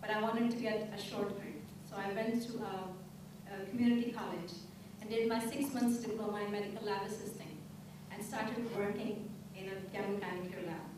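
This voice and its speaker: A woman from Pakistan recounts her path calmly and reflectively. She sits back from the microphone in a very echoey room, with clear background noise under her voice. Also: mid-range voice, normal speed. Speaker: immigrant telling her story. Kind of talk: personal testimony. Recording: echoey room, distant mic, noisy.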